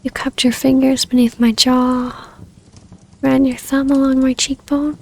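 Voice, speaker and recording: Vampire baroness female performer, ASMR romance roleplay: high, breathy, near-whispered, barely varying in pitch, yearning and courtly. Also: level tone, measured pace, exaggerated voice. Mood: tender, yearning. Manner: soft, breathy, often dropping to a whisper. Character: tender, yearning vampire baroness, long-lost lover. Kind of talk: intimate ASMR roleplay acting.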